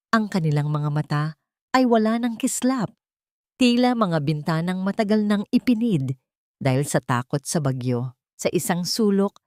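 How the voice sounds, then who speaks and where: A woman's voice: on the low side, a little mellow, no echo, clean studio sound. A woman reading a dramatic story as a scripted audiobook narration.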